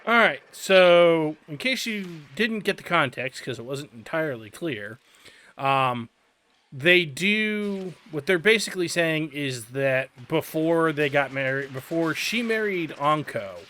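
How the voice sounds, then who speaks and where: A man's voice: on the high side, expressive, slow pace, sharp tone. A young man giving casual let's-play commentary, explaining game quests in a chatty, friendly way. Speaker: gameplay commentator.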